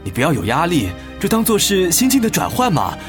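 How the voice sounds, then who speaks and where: A man's voice: a little high, huge pitch swings, brisk, music in the background. An actor playing a pushy, pragmatic businessman husband with a Taiwanese accent and a glib, persuasive manner in a radio drama. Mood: exasperated. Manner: glib, persuasive, exasperated when crossed. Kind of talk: Mandarin radio drama acting.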